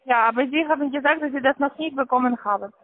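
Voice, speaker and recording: A woman with a foreign accent, not a native German speaker, giving halting, insistent instructions as a call-centre caller. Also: high-pitched, no room sound. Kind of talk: scripted phone instructions, spelling out slowly. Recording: tinny, narrow-band phone line.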